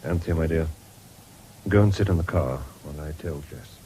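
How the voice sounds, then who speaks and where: An actor playing a sober country doctor with an English accent in a 1970s radio drama, measured and grave. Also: male voice, very deep voice, warm tone, low-quality recording. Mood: grave, gentle. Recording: hissy old radio broadcast recording.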